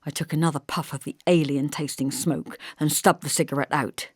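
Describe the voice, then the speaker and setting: A woman reading a novel as an audiobook, in expressive, measured first-person narration. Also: sits low, slightly rough, no room sound, clean studio sound.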